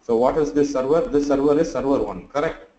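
A tired, sleepy man teaching a live online class, talking through his screen in a halting way full of fillers. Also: mid-range voice, mellow, reverberant, lo-fi sound, slight background noise. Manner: halting, thinks aloud with many fillers. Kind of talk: live screen-share tutorial.

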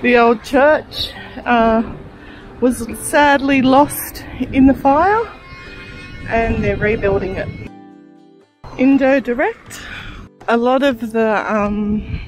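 A woman, narrating a casual travel vlog in a relaxed, chatty way while touring outdoors. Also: a little high, slow pace, pauses often, soft background music. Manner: chatty, relaxed tour guiding. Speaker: travel vlogger. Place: outdoors touring a town and wildlife park.

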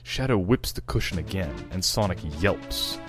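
A man's voice: deep voice, expressive, normal speed, fairly bright tone, soft background music. A man narrating fan fiction, reading out the stage directions.

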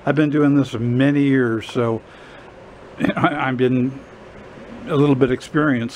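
A man's voice: medium pitch, darkish tone. Older man, folksy, with noisy workshop background. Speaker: drum restorer hosting a how-to video. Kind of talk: casual hands-on workshop demonstration. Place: cluttered garage workshop. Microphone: camera a short distance away. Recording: clear background noise, workshop ambience.

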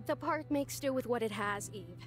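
High-voiced, patient woman ranger, played by an actress. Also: animated, moderate pace, close to an everyday voice. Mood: calm. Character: patient, reassuring female park ranger supervisor. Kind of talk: visual novel voice acting. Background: music.

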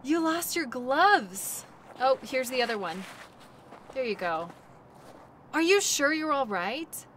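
Unhurried, higher-voiced woman acting a gentle sled guide. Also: expressive, a little hiss or hum, full character voice. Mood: startled, concerned. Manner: gentle, reassuring, chatty. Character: cheerful, reassuring woman dog-sled musher guiding a passenger. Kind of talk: audio roleplay, naturalistic second-person acting.

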